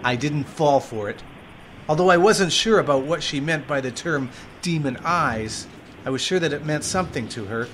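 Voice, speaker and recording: A man's voice: on the high side, normal speed, a bit bright, close to an everyday voice. A man as a weary homeless narrator. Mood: reflective. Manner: reflective, earnest storytelling. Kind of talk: first-person drama narration. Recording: noisy background hiss.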